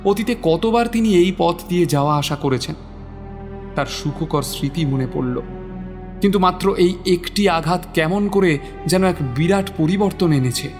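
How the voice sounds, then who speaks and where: A man narrates a dramatic literary audio story. His voice is a little high for a man, measured and expressive, with music clearly playing behind it.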